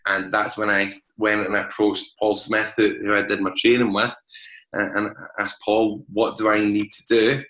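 A young man with a Glaswegian accent, talking frankly and deliberately slowly as a podcast guest. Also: lowish voice, little pitch movement, pushed and bright, echoey room, low-quality recording. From Scotland. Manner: frank, upfront, deliberately slowed speech. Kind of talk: candid podcast interview.

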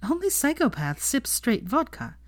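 A woman's voice: sits high, very animated, moderate pace, dry recording, pitched above the performer's own voice. A woman voicing an earnest, feisty, know-it-all young witch in a story reading, emphatic and matter-of-fact. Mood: exasperated.

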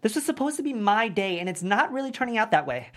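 A woman playing a self-dramatizing, insecure teenage high school boy with a sassy delivery in a comedy table read. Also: sing-song, quick pace. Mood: frustrated, flustered.

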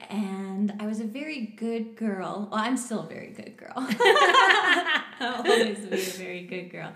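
A woman's voice: medium pitch, fairly bright tone. A woman in her thirties, from Canada, speaks as a podcast guest. She is candid and laughs often. The recording sounds echoey. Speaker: interview guest. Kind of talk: casual interview. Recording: echoey room.

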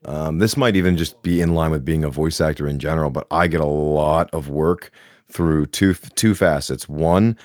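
A man with a moderately deep, very low voice chats as a podcast guest. He talks in a self-deprecating, joking way, with restarts and fillers. The sound is dry with no room echo. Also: normal speed, a little mellow. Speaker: guest voice actor. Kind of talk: casual podcast chat.

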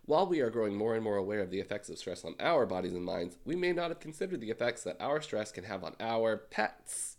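A man co-hosting a casual podcast, sounding congested and ill, with dry deadpan jokes. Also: fairly low pitch, fast, hardly any pauses. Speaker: podcast co-host. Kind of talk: casual chat and read ad.

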